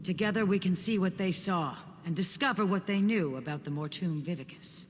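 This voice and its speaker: An actor playing an earnest, courteous scholarly adventurer in a fantasy video game, speaking in measured, formal phrases. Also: male voice, high-pitched, big-room sound, slight background noise, lightly acted. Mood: solemn. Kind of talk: video game character dialogue.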